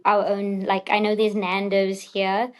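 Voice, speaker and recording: A woman's voice: medium pitch, completely flat delivery, unhurried. A woman with a South African accent chatting casually as an interview guest, in a chatty, giggly manner.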